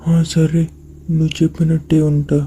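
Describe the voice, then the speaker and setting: A woman voicing a naive, gullible, slow-witted talking watermelon in an animated moral story. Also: sits low, a little hiss or hum, natural voice, low-quality recording. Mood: agreeable, trusting.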